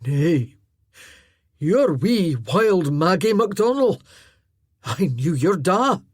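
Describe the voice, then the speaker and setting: A menacing Highland man, as a woman narrator puts him on, drawing his words out slowly, coldly and loudly. The voice sits low and husky in a dry, close room. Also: sing-song, no room sound, heavily acted.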